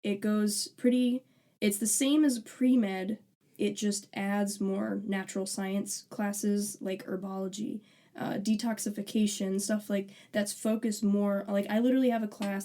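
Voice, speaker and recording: A young woman in her twenties with a mellow, warm tone, co-hosting a student podcast. She talks in a relaxed, conversational way. She jokes and laughs easily. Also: mid-range voice. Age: 20s. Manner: casual and joking, laughs easily. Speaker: podcast co-host, alternative medicine student. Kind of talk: casual chat.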